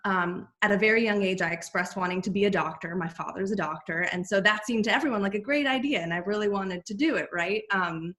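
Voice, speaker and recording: A woman's voice: medium pitch, a little fast, few pauses, fairly bright tone. A woman, chatting as a podcast guest with many fillers and restarts. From United States. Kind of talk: casual interview chat.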